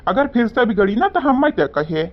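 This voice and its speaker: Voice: medium pitch, sing-song, normal speed, highs cut off, close to an everyday voice. An actor with an Awadhi accent voicing a doting village father-in-law in a comic cartoon, emphatic and repetitive. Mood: fond, insistent. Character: doting village father-in-law who praises his daughter-in-law.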